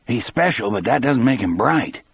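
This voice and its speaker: A man's voice: medium pitch, sing-song, normal speed, gravelly, breathy edge, no echo, full character voice. A man voicing a thoughtful, plain-spoken male prison guard in an audiobook. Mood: questioning. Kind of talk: audiobook character dialogue.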